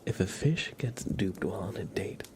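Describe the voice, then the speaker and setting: A man hosting an ASMR livestream, chatting playfully and softly with viewers, often slipping into whispers and rambling as he goes. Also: deep voice, animated, normal speed. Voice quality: soft, often breaking into whispers. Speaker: livestream host. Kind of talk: ASMR livestream chat, reading and answering viewer comments.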